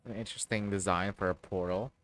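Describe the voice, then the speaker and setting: A man's voice: deep voice, steady tone, fast talker, a bit bright, faint music behind. A man reacting casually on a game livestream, making informal asides as he plays.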